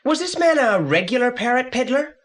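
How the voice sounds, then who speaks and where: A pompous, animated boy detective. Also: medium pitch, brisk. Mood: inquisitive, analytical. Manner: pompous, precise, formal wording. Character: brainy, self-assured boy detective, formal and commanding. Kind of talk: 1980s audio play acting.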